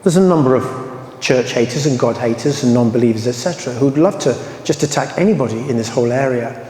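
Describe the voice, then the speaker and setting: A man's voice: mid-range voice, fast talker, mellow. A man with an English accent speaking emphatically as a survivor advocate at a public meeting, his voice growing heated. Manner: emphatic, rising to anger, then apologetic. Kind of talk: impassioned public talk. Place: large echoey hall. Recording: roomy echoey hall with reverb.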